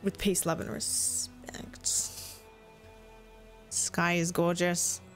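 An Australian woman chatting excitedly and swearing casually as she streams a game. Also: fairly low pitch, very slow, soft background music. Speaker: gaming streamer. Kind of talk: casual let's-play chat.

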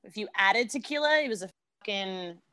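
A woman's voice: mid-range voice, moderate pace, smooth, dry recording. A woman with an American accent, a podcast guest chatting casually and laughing often as she tells stories. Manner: laughs often, animated storytelling. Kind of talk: casual chat.

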